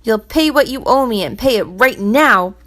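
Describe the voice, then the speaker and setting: A woman voicing a greedy, cunning servant in a children's storybook reading, speaking high and forcefully. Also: expressive, normal speed. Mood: cruel. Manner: pleading then harsh and forceful.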